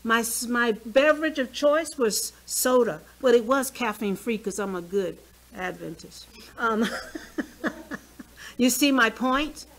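A woman's voice: on the high side, slow. A woman of sixty giving a health talk in a small church hall, chatting with the audience and asking them questions as she speaks from a podium mic. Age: 60s. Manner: lively, conversational, asks the audience questions.

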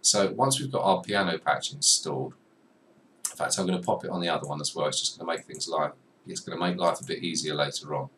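A young man giving a chatty, self-deprecating software tutorial at his desk, talking to the viewer as he clicks through menus. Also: a bass voice, fast. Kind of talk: screen-recorded software tutorial. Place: small room at a desk. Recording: slightly distant mic with faint room noise.

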